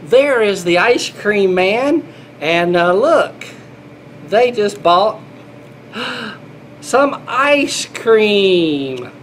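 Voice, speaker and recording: A man's voice: sits high, sing-song, drawn-out words, pauses often, a bit bright, slight background noise. A middle-aged man reading a children's picture book aloud, playful and exclaiming, talking as if to small children. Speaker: grandfather reading to children, air traffic controller. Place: small indoor airport control room.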